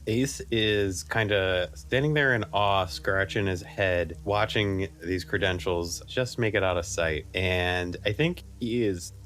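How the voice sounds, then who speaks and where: A man with a low voice plays a tabletop game. He improvises his character's actions and talk haltingly, thinking aloud as he goes. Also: fairly bright tone.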